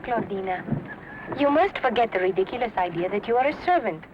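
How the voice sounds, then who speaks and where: A haughty, wealthy lady of the Old West played by a woman, speaking in a middle-pitched voice with dry, worldly wit. She sounds commanding and cynical, on a dull recording with clear background noise. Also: expressive, fairly quick, natural voice. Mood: haughty, wry. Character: imperious, witty wealthy lady shedding formalities with her servant. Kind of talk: dramatic dialogue in a Western. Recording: muffled lo-fi, loud background noise.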